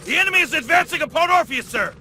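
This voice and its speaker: An actor playing a hot-blooded, eager male soldier in a game cutscene, shouting forcefully in a strained, gravelly voice. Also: extremely high pitch, animated, fast, background noise. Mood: urgent. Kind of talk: video game cutscene acting.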